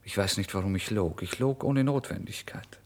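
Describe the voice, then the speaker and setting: A man's voice: lowish voice, moderate pace. An actor playing a starving, impulsive male writer in a theatrical, changeable first-person literary monologue for radio. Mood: furtive, brazen. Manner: theatrical, swinging from exclamations to hushed whispers. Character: starving, impulsive male writer recounting his misery and brazen lies.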